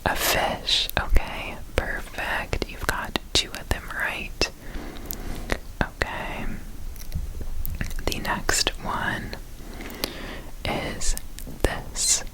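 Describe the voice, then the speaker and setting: Woman, breathy whisper, unhurried pace, gentle reassuring examiner, ASMR roleplay, softly repeated words. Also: poor audio. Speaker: ASMR performer playing an examiner.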